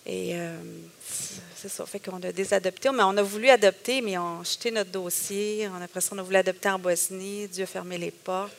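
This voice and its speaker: A woman's voice: medium pitch, steady tone, brisk, hardly any pauses. A middle-aged woman with a Québécois accent, telling her personal story as a guest in a conversation, with many hesitations and quoted thoughts. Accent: Québécois French.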